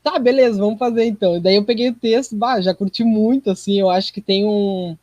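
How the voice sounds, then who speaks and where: A man's voice: sits high, steady tone, a little fast, a little mellow, dry recording, lo-fi sound. A man with a Brazilian accent telling anecdotes casually as a podcast guest.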